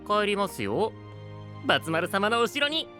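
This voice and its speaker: Casting: courteous, sly young knight, piercingly bright and melodic, playful anime drama style. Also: male voice, high-pitched, sing-song, unhurried, faint music behind, exaggerated voice. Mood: polite, playful. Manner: polite, playful, breezy. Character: polite, sly, clingy young male knight.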